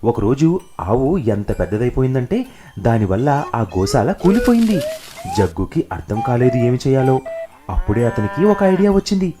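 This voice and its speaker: A man's voice: medium pitch, big pitch swings, normal speed. A man narrating a scripted children's story. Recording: music behind the narration.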